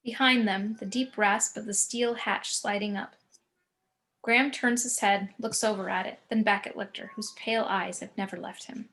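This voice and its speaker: A woman reading a screenplay's action descriptions as narrator, in a measured, descriptive way. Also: mid-range voice, fairly even intonation, fairly bright tone. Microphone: video call.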